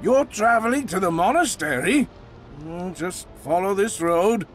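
An actor playing a panicked traveling merchant in a video game, speaking in a frantic, exclaiming manner. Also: male voice, high-pitched, lively intonation, unhurried, soft background music. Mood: wary. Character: panicked high-voiced male traveling merchant. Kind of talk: video game character acting.

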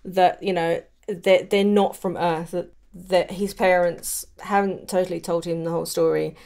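A woman speaks in a slightly low register. She talks casually, as a co-host in an informal discussion about fiction. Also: steady tone, normal speed, creaky, darkish tone. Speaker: podcast co-host.

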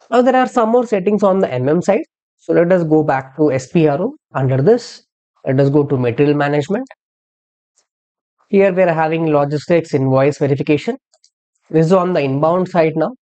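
A software instructor narrating a step-by-step tutorial in an explanatory way, posing questions and then answering them. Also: mid-range voice, highs cut off. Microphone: screen-recording voice-over.

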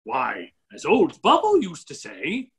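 A middle-aged actor playing a genial, rambling, exclamatory upper-class duke in a stage play reading. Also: male voice, fairly high pitch, huge pitch swings, slow, exaggerated voice. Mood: genially vague. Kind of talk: stage play reading over video call.

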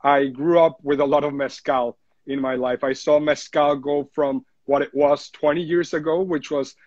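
A man from Mexico City, a brand co-founder speaking casually in an interview, relaxed and repeating words as he searches for them. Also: a little high, normal speed, no echo. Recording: dull dry lo-fi audio room call.

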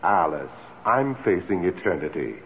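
An actor playing the pleading, charming ghost of an older dead husband, theatrical and wheedling, in an old-time radio drama. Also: male voice, low-pitched, very animated, normal speed. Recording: muffled, faintly noisy old radio broadcast.

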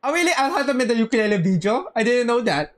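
Animated slangy game streamer. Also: mid-range voice, racing, crowd noise. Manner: slangy, teasing, swearing. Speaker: game livestreamer. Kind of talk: casual live commentary to chat. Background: game music.

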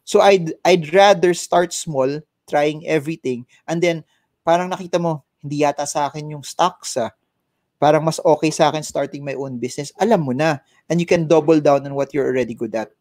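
A man's voice: fairly high pitch, darkish tone, dry recording. A man in his thirties with a Filipino accent, a financial adviser giving advice by video call from a home office, mixing in Tagalog and restarting his words. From Philippines. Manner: mixes in Tagalog, quick restarts and repeated words. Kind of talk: casual advice interview. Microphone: headset mic, video call.

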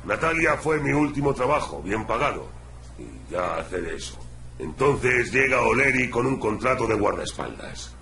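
An actor playing a big, tough, defensive gorilla bodyguard in a video game. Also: male voice, mid-range voice, big pitch swings, moderate pace, pushed and bright, echoey room, a little hiss or hum. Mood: resigned.

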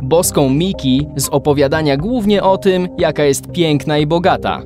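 A man's voice: on the high side, moderate pace, over music, close to an everyday voice. A man narrating a children's adventure radio play in a scripted storytelling style. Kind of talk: scripted audio drama narration.

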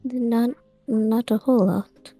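A woman playing a timid, polite eight-year-old demigod boy, soft-spoken and hesitant, in a fantasy game roleplay. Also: fairly high pitch, steady tone, takes it slowly, soft background music.

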